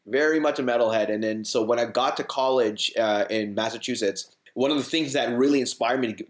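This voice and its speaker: Man, 40s, from Hong Kong, rambling guest, mid-pitched, echoey room. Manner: rambling, lots of "you know" and restarts. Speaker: guest, hardcore band frontman. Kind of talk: casual interview chat. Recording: roomy echo.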